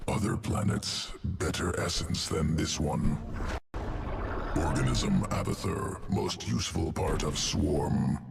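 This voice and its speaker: A man voicing a cold, calculating insectoid alien in a video game, speaking in clipped, telegraphic phrases with a gravelly, guttural voice. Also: very deep voice, full character voice. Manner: clipped telegraphic phrases, dropped words, detached. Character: alien insectoid evolution master of a swarm, cold, clinical, calculating. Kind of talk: video game character dialogue.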